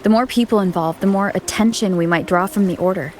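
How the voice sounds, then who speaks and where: A woman's voice: mid-range voice, fast talker, a little mellow, faint music behind, natural voice. A woman voicing a cool, resolute young adventurer in a video game, terse and matter-of-fact. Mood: serious, pensive.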